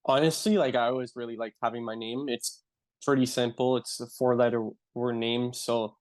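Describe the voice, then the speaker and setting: A young adult man from Canada with a Canadian accent, a guest in a casual interview, talking in a relaxed way and saying "like" often. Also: mid-range voice, moderate pace. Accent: Canadian English. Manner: relaxed, filler-heavy, says "like" often.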